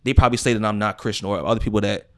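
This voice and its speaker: A young American man co-hosting a podcast, talking in a casual, animated way, swearing and using lots of filler words. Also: fairly low pitch, racing. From United States. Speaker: podcast co-host. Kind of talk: casual chat.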